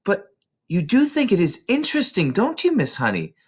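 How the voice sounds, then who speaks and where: Man voicing an excitable, high-pitched little girl. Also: moderate pace, breathy edge, full character voice. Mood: curious, insistent. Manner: gushing, breathless run-on eagerness. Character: excited, eager, precocious small schoolgirl. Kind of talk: children's storybook read aloud.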